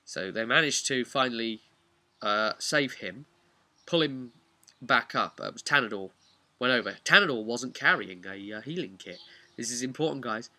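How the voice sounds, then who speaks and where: A man's voice: medium pitch, expressive, moderate pace, pushed and bright. A man speaking informally as a game master, recounting a tabletop campaign with emphatic asides. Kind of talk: vlog storytelling, informal explanation.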